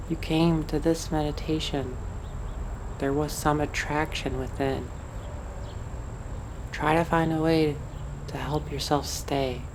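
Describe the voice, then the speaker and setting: Slow, low-voiced woman guiding meditation. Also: darkish tone, a little hiss or hum. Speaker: meditation guide. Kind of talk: guided meditation narration.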